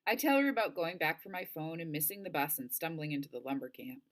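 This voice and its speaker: A woman reading a novel aloud as narration, with expressive, dramatic storytelling. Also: low voice, quick pace. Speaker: audiobook-style reader.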